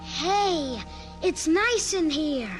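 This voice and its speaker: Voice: very high-pitched, lively intonation, drawn-out words, full character voice. An actor playing an eager, bold young boy in an old-time radio drama. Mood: excited. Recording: vintage radio recording with music behind.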